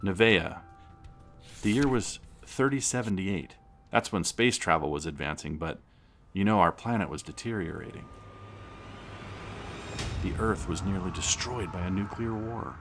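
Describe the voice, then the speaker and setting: A man's voice: low voice, animated, measured pace, pauses often, soft background music. A man playing a grandfather who narrates a space saga to his grandchild in an audio drama. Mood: storytelling. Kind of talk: storytelling narration. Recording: clear background noise.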